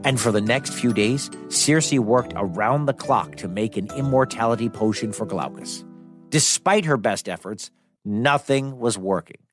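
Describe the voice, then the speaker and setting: A man's voice: medium pitch, a little slow, soft background music. A man narrating a children's myth as storyteller, in a playful, conversational way. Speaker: storyteller host.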